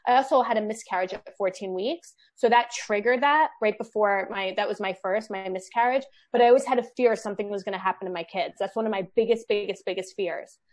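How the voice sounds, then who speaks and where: A woman's voice: mid-range voice, a little fast, hardly any pauses. Chatty woman with a rambling delivery. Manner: rambling, restarts often, self-deprecating. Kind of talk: casual chat.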